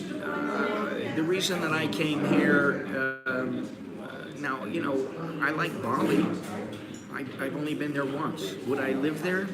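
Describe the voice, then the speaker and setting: A man in his sixties from the United States hosts a casual live stream from a busy coffee shop, giving blunt, rambling advice with frequent restarts. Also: medium pitch. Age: 60s. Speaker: host. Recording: roomy, crowded cafe. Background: crowd chatter.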